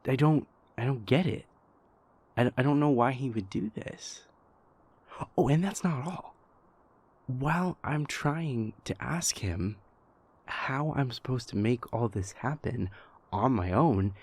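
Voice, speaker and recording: A man playing an anxious, exasperated young vampire prince in an intimate audio roleplay, speaking in a halting, stammering way. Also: medium pitch, husky, heavily acted. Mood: frustrated, confused. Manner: halting, stammering, venting, sighing pauses.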